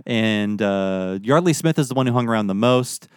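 A man's voice: fairly low pitch, expressive, moderate pace, clean tone, no echo, studio quality. A man co-hosting a podcast in casual conversation, joking in a wry way. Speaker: podcast co-host. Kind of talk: casual chat recounting a fan outing.

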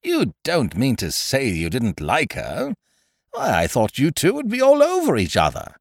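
A man performs a chummy chancer from a comic story, all effusive heartiness and wheedling. The delivery is extremely animated, swooping high on key words. The sound is close and dry. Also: measured pace, vocal fry, dry recording, full character voice. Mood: cajoling. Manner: effusive, cajoling, old-fashioned British slangy address. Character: breezy male scrounger, wheedling, overfamiliar, falsely hearty chum.

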